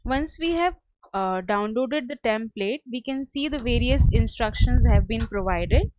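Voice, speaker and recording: A woman working as a software trainer, giving a step-by-step screen tutorial in a hesitant, halting way. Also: fairly high pitch, expressive, no room sound. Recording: dull lo-fi close dry audio.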